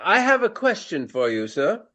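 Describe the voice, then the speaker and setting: An actor playing a flamboyant, vain Regency English stage actor with pompous, formal old-fashioned speech in a radio play. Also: male voice, sits high, sing-song, moderate pace, sharp tone, exaggerated voice. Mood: curious, marveling.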